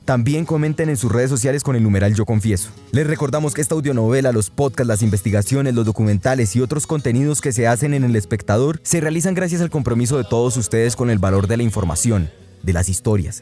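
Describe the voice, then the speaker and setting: A man's voice: mid-range voice, dark tone. A man with a Colombian accent reading scripted credits and thanks as a podcast announcer, in an orderly way.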